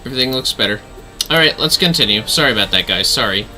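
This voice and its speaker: A man's voice: mid-range voice, animated, quick pace, bright, forceful tone, soft background music. A man chatting casually as he hosts a game livestream, informal and apologetic, swearing freely. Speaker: livestream host playing a video game.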